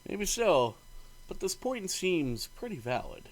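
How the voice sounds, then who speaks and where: A man reading a visual novel's narration aloud as a gaming host, expressive and informal. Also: high voice, slow. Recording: faint game music behind.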